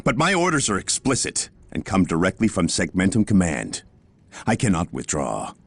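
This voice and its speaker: Unyielding game commander, stern, formal, commanding, gravelly, dramatic intonation. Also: male voice, deep voice, huge pitch swings, moderate pace, no room sound, full character voice. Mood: firm, resolute. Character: stern, unyielding male Imperial military commander. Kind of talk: dramatic video game acting.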